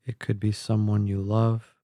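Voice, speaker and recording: A man guiding a meditation in a calm, gentle, measured way, leaving long pauses between phrases. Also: lowish voice, no room sound. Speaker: guided meditation instructor. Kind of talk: slow guided meditation narration. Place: close, dry voice-only recording.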